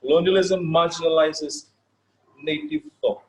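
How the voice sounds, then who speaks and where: A man from Fiji with a Fijian accent, a native Fijian speaker, giving an informal lecture. He pauses often, repeats words and checks in with his listeners. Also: a little high, very animated, creaky, low-quality recording. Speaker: session leader.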